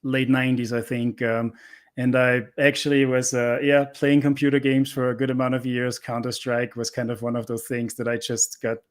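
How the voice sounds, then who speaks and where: Middle-aged man, mid-pitched. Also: little pitch movement, normal speed. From Austria. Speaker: interview guest, cybersecurity instructor. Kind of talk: casual conversational interview answers.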